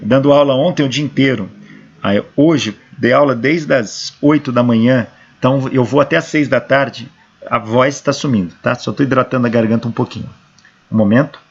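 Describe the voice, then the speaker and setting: A hoarse male engineering professor with a Brazilian Portuguese accent, working through equations step by step and answering his own questions, on a dull lo-fi recording. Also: medium pitch, moderate pace.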